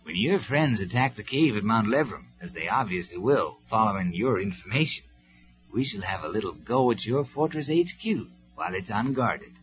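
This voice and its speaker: A man's voice: lowish voice, big pitch swings, moderate pace. A cool, wry robot soldier, as a male narrator voices him, speaking dryly and matter-of-factly. The storybook recording is slightly dull and lo-fi, with faint music beneath.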